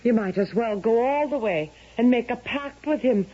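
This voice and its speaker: An actress playing a spirited, lovelorn young Irish daughter in a 1970s radio drama, breathy and ardent, with an Irish accent. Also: female voice, a little high, lively intonation, moderate pace. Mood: defiant. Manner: ardent, emotional. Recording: muffled vintage radio recording.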